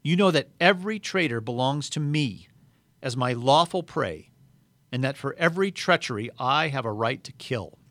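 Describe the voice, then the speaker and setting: A haughty witch queen stakes her claim in a raised, forceful voice, as a man reading a storybook puts her on. The delivery is menacing and cold. The sound is dry and close, with no room around it. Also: medium pitch, animated, normal speed, no echo, voice pushed up. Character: cold, imperious witch queen demanding blood.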